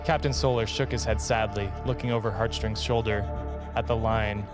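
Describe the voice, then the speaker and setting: A man's voice: lowish voice, fairly even intonation, normal speed. A man, a podcast host, giving a dramatic narration of a story. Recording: loud background music under the voice.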